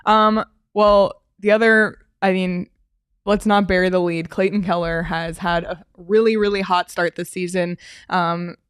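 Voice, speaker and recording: A woman hosting a hockey talk show, guiding the conversation in a relaxed, casual way with frequent fillers. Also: lowish voice, measured pace, smooth, no room sound, studio quality. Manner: steady, uses fillers, leads the segment. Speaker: sports show host.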